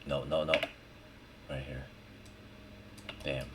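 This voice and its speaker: Really deep slow guy mumbling thru a software tutorial, short slangy bits, noisy room w keyboard clicks. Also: little pitch movement. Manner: muttering short fragments, slangy. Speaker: 3D modeling tutorial host. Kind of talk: casual screen-recorded tutorial, thinking aloud while working. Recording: clear background noise, keyboard and mouse clicks. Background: typing and mouse clicking.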